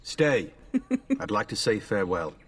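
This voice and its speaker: Low-pitched, confident young nobleman. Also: wildly swooping pitch, unhurried, fairly bright tone, far from the mic, exaggerated voice. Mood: affectionate, reassuring. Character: confident young nobleman, affectionate husband and father. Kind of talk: fantasy video game acting.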